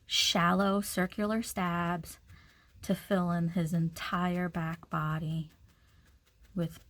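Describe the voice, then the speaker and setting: A woman's voice: fairly low pitch, little pitch movement, slow pace, fairly bright tone. A woman giving a casual step-by-step craft demonstration, informally talking through each action as she works. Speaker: craft tutorial instructor.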